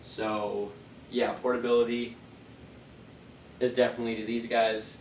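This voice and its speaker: A man's voice: medium pitch, flat delivery, a little slow, far from the mic, a little hiss or hum. Sniffly man reviewing speakers, echoey room. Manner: rambling, loose, many fillers. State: stuffy nose, sniffly. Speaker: product reviewer. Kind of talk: casual product review.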